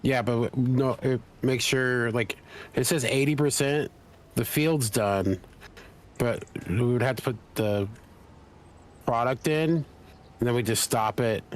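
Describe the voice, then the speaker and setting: A man's voice: medium pitch, unhurried, long pauses. A man with an ordinary mid-range voice joins a streamer for casual banter. He teases a little and explains game tricks in a loose, conversational way. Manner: teasing, offers gameplay tips. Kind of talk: casual chat.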